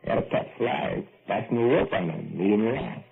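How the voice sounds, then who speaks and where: A man's voice: fairly low pitch, lively intonation, fairly quick, creaky. An actor playing an idle, folksy young American working man who daydreams in colloquial speech, in an old-time radio drama. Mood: dreamy musing. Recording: tinny, band-limited old radio recording with music.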